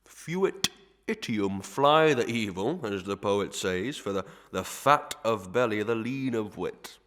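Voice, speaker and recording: Man playing a slow, pompous, low-voiced parish clerk. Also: lively intonation, fairly bright tone. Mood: pompous, lecturing. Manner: pompous, sententious, archaic speech with Latin tags. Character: pompous, gluttonous, sly parish clerk fond of proverbs. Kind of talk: Victorian melodrama audio acting.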